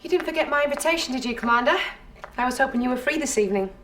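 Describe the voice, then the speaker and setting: A woman's voice: high-pitched, expressive, very fast. A woman playing a poised, earnest military officer in a game, direct and polite. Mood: flirtatious. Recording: distant, under very loud game music.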